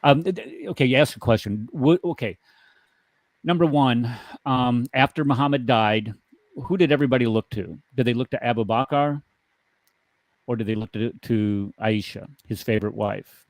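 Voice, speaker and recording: A man's voice: mid-range voice, normal speed, dry recording. A man in his fifties hosting an online debate panel, pressing his opponent with pointed, challenging questions. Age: 50s.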